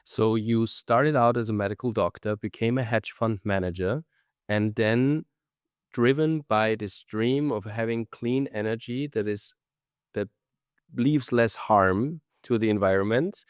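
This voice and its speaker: A man's voice: on the low side, a little slow, clean tone, no room sound, clean studio sound. A man hosting an interview podcast, introducing and questioning a guest. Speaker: podcast host.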